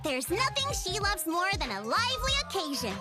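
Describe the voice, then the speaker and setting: A woman voicing a peppy, eager young girl in a video game, with an excitable, bubbly manner. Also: extremely high pitch, normal speed, over loud music. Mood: upbeat, confident.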